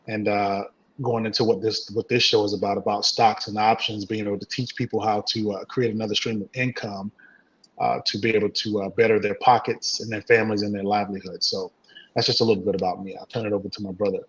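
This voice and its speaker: A husky man with a low, flat voice talks through his answers, stopping often on "uh" and "um". The sound is dull and lo-fi. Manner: hesitant, many uh and um fillers. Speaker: guest, trading community co-founder. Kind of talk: casual interview answers.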